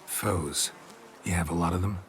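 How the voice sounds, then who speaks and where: An actor playing a stoic, gruff monster hunter in a video game, with a clipped, deadpan delivery in a gravelly growl. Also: male voice, low-pitched, moderate pace, a little hiss or hum, lightly acted. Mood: businesslike. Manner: clipped, deadpan, drops subjects from sentences. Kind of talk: video game cutscene acting.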